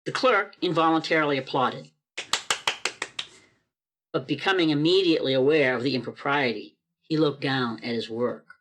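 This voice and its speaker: A man's voice: medium pitch, normal speed, pauses often, fairly bright tone, rough recording. A man reading scripted narration for an amateur radio play. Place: performed remotely over a video call. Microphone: video call.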